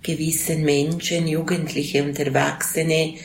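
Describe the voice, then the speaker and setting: A woman's voice: deep voice, level tone, unhurried, echoey room, a little hiss or hum. A woman from Switzerland, a psychotherapist and author talking in an interview, hesitant with many fillers and restarts. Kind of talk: conversational interview.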